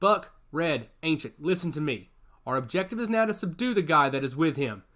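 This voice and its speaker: A man voicing a blunt male soldier who leads a squad, barking brisk orders in a storybook reading. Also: fairly high pitch, lively intonation, fairly bright tone. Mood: commanding. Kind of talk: audiobook character voice.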